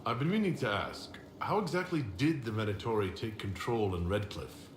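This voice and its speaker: A man's voice: fairly low pitch, animated, normal speed, lightly acted. A man voicing a composed, inquisitive fantasy leader in a video game, speaking directly and mostly in questions. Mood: composed, curious. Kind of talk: video game dialogue acting. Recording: roomy echo, clearly noisy game capture.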